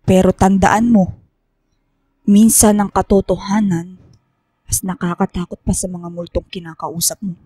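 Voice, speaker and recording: A Filipino actress playing a secretive, stern woman professor who guides others among spirits, speaking gravely and deliberately in a radio horror drama. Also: mid-range voice, little pitch movement, warm tone, no room sound. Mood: grave, cryptic.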